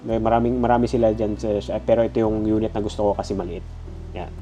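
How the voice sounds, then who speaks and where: A man's voice: on the low side, steady tone, quick pace, mellow, soft background music. A Filipino-accented man with a stuffy nose, giving a casual, conversational gadget review. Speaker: product reviewer.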